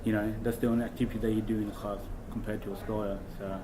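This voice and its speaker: A man's voice: fairly low pitch, rapid-fire, background noise. Young man from Kenya, chatting casually. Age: young adult. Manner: relaxed, storytelling with many fillers. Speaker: guest. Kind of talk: casual chat. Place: small room indoors, seated on a couch.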